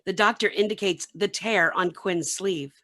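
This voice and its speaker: A woman's voice: mid-range voice, moderate pace, sharp tone. A woman reading scripted narration and stage directions for a radio play.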